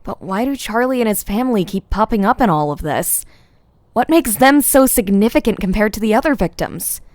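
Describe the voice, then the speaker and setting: A woman's voice: medium pitch, lively intonation, a little fast, darkish tone, no echo, lightly acted. A woman playing a wary, frustrated investigator who mutters to herself in a horror audio drama. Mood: pondering.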